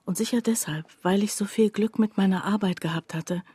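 An actress playing a reflective woman who narrates a radio drama about a destitute friend in a confiding, deliberate way, with a husky, breathy voice. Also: medium pitch, background noise, lightly acted. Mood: sober, pensive. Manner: confiding, deliberate, addressing the listener directly. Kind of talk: intimate radio drama monologue.

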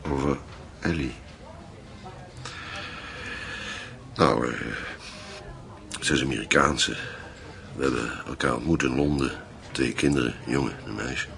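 A man playing a middle-aged, passionate and frustrated lover in a radio drama, speaking in an earnest, halting, intimate way. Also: very deep voice, creaky, a bit bright, exaggerated voice. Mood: reluctant, subdued. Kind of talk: naturalistic radio drama acting.

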